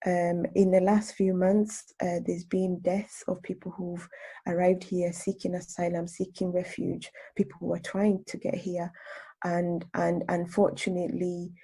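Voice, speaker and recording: A woman speaking in a reflective radio discussion, thoughtful and halting, often repeating words as she searches for her phrasing. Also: fairly low pitch, little pitch movement, dark tone, husky.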